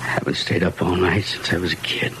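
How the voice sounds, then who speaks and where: A man acting a weary, haunted husband in a vintage radio horror play. His voice is fairly low, breathy and halting, full of unease. The old recording is plainly noisy. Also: level tone, close to an everyday voice. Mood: weary, subdued.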